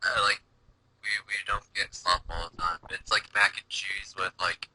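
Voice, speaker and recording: A man's voice: sits low, level tone, normal speed, sharp tone, rough recording. A young adult man in casual chat as a listener on a group voice call.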